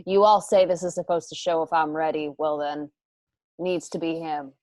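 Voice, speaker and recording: A woman's voice: sits low, fairly even intonation, moderate pace, darkish tone, no room sound, natural voice. An actress playing a cocky, defiant young female warrior in a radio drama, brash and taunting.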